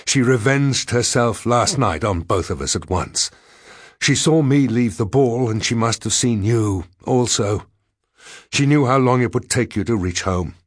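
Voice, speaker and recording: Tormented professor, acted by a male reader: vengeful, impassioned gothic storytelling, husky voice, raised pitch. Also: measured pace, no room sound, studio quality, lightly acted. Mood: anguished. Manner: impassioned, melodramatic.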